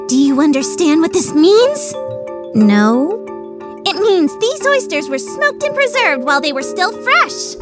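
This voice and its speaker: A woman voicing an excitable, theatrical teenage girl who judges food with grandiose, gushing drama in an anime fan dub. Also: very high voice, measured pace, heavily acted. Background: background music.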